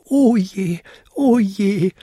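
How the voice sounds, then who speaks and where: A man's voice: sits high, measured pace, darkish tone, no echo, studio quality, voice pushed up. A man voicing a tiny, hapless male winter goblin in a storybook, with a plaintive, apologetic, piping way of talking. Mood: wailing despair. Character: smallest winter goblin, timid, hapless little male creature.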